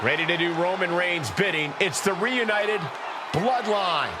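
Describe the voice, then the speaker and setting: A man's voice: high voice, measured pace. A man giving dramatic play-by-play commentary on professional wrestling. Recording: loud arena crowd and noise.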